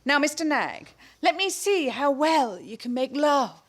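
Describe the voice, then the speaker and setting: A woman playing a brisk, bossy lady who directs amateur rehearsals in a comic radio play, prim and coaxing. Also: high voice, sing-song. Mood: bossy, instructing. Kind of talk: stage comedy performed as radio play.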